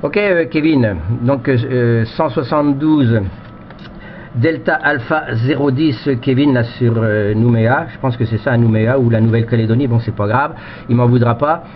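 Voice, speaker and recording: A man's voice: mid-range voice, moderate pace. A man talking casually as an amateur radio operator, repeating himself in stop-start phrases over a two-way radio link. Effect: two-way shortwave radio transmission. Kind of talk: casual radio contact chat. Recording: muffled radio signal with static.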